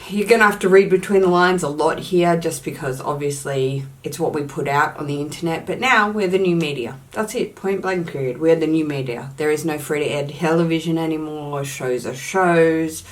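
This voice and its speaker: A young woman talks straight to camera in a slightly low voice. She speaks with firm emphasis, repeating key words to drive her points home. Her tone stays casual throughout. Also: moderate pace, hardly any pauses. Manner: uses "allegedly" often as a hedge. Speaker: YouTuber.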